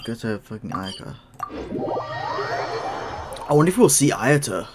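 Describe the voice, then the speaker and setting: A man's voice: mid-range voice, big pitch swings, measured pace, heavy background noise. A man giving casual, offhand reaction commentary over a video game, swearing freely. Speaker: gameplay streamer.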